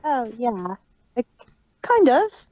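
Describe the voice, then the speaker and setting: A woman with a fairly high voice chats casually as a podcast co-host. Her pitch swings widely as she makes wry remarks, and the recording is dry and slightly dull. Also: very animated, slow, dark tone, dry recording. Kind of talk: casual chat.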